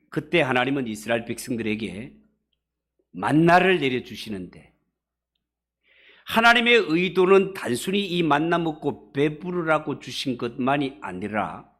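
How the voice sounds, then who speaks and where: A man's voice: medium pitch, fairly bright tone. A man preaching an expository sermon to a congregation as a pastor, with emphatic rises and falls of stress. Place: church service.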